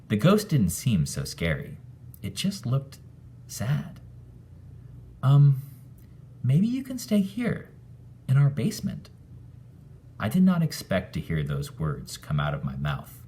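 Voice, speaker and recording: A man reading a children's story aloud in an expressive, animated way, acting out the narration. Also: fairly low pitch. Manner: animated, acts out the dialogue. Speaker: storybook reader.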